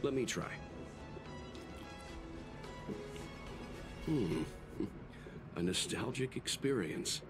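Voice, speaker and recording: A man voicing a calm, wryly thoughtful young traveler in a video game, with measured delivery. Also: medium pitch, over music, lightly acted. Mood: polite.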